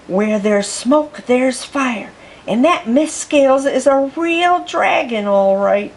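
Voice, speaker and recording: A woman voicing an awed young schoolboy who speaks in a hushed, conspiratorial way in a storybook. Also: high voice, lively intonation, slow, slight background noise, full character voice.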